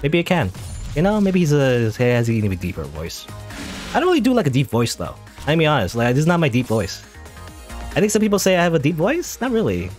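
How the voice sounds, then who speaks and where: A man talks his way through a video game in a loose, wandering commentary. His pitch swings up and down a lot as he goes, and he keeps stopping to correct himself. Faint game music plays underneath. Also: huge pitch swings, fast, darkish tone. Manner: rambling, chatty, self-correcting. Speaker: gaming channel host. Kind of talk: casual let's play commentary.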